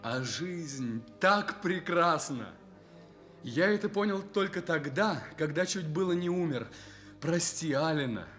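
An ardent young journalist in love in a Soviet radio drama. His voice sits a little high, and he speaks with earnest, romantic fervour. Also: lively intonation, slow pace, music in the background. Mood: fervent. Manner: earnest, fervent.

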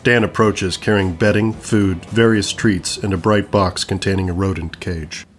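Low-voiced male narrator over guitar music. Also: steady tone, moderate pace. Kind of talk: scripted narration of stage directions.

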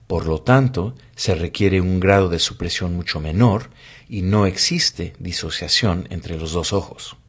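Male narrator for medical explainer: deep, husky, formal, instructional scripted read.

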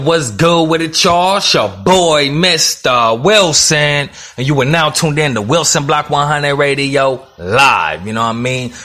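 A man's voice: mid-range voice, sharp tone. A man from the Los Angeles area hosting his radio show, talking in a casual, upbeat, slangy way and often adding "you know what I mean.". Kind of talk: casual hyped radio talk.

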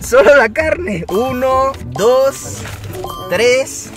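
A man's voice: very high voice, lively intonation, very slow. Playful young man hosting a vlog. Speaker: vlog host. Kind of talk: casual prank vlog chat. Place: car at a drive-through. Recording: loud background music.

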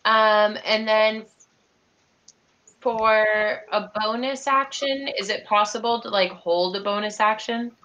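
A young woman plays in an online tabletop game, her voice bright. She thinks aloud hesitantly as she counts squares and checks rules. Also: medium pitch, fairly even intonation, slow, muffled recording. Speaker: player. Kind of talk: casual tabletop game play.

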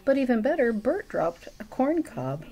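A middle-aged woman reading a picture book aloud as a storytime reader, with chatty asides and expressive, drawn-out emphasis. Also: a little high, poor audio. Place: small room at home.

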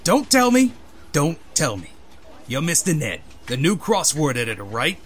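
Male newspaper editor, acted by a man: brusque, commanding, highish voice, lively swings, cartoon dub. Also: breathy edge, exaggerated voice. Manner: barking, commanding, hurried. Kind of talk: comic-book dub.

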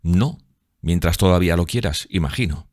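Un hombre que da voz a un chico de dieciséis años, celoso y malhumorado, con tono hosco y resentido, en un audiolibro. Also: male voice, low-pitched, moderate pace, dry recording, clean studio sound, close to an everyday voice. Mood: sullen. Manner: gruff, resentful, forceful. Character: sullen, jealous, hot-tempered sixteen-year-old working-class brother.

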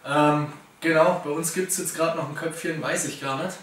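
A man's voice: fairly high pitch, steady tone, moderate pace, a bit bright. A young man chatting casually in a living room, laid-back and a little hungover. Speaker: co-host. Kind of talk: casual chat. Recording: echoey room from a distance.

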